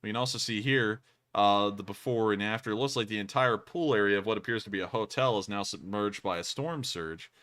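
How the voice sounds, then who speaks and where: A young man co-hosting a live stream, talking in a highly fluctuating, high-pitched voice. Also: a little fast. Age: young adult. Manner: animated, high-pitched, swooping delivery.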